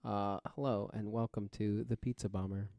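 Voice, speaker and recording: Clear-voiced man telling a story casually. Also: on the low side, steady tone, mellow, no echo. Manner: halting, conversational, joking asides. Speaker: podcast guest storyteller.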